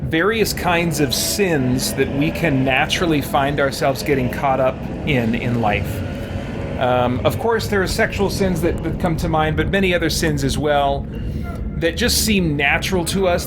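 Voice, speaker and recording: A man giving casual gameplay commentary, rambling and reflective as he thinks out loud. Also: a little high, moderate pace, heavy background noise. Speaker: host of a gameplay channel. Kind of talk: casual commentary on a roleplaying game playthrough. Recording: music playing behind the voice. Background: video game music.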